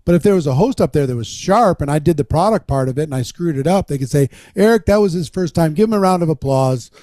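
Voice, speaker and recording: A man coaching people on a video call, talking bluntly but warmly, with plenty of reassurance. His voice sits a little high with a mellow, dark tone, and the sound is dry with no room echo. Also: expressive. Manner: blunt, encouraging, quick to reassure. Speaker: presentation coach. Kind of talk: casual webinar talk.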